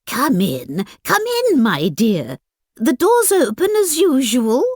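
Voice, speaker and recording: A woman voicing a welcoming, unsuspecting old fairy-tale granny with a creaky voice. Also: a little high, wildly swooping pitch, slow pace, no room sound, clean studio sound, pitched above the performer's own voice, exaggerated voice.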